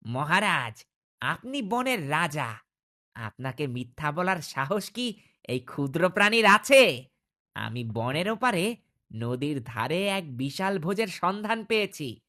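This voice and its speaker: A woman voicing a clever, quick-witted fox in a cartoon, speaking in a persuasive, theatrical manner. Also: low-pitched, big pitch swings, fairly bright tone, full character voice. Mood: coaxing flattery. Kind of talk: cartoon voice acting.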